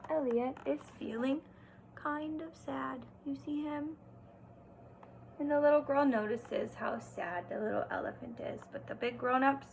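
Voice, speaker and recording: A woman teacher doing an expressive read-aloud for young children, stressing words and pausing to explain and ask simple questions. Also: high voice, vocal fry, background noise. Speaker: kindergarten teacher.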